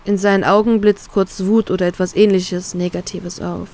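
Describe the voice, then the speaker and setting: A woman's voice: medium pitch, flat delivery, moderate pace, warm tone. A woman reading a first-person fan fiction story aloud, voicing a heartbroken inner monologue.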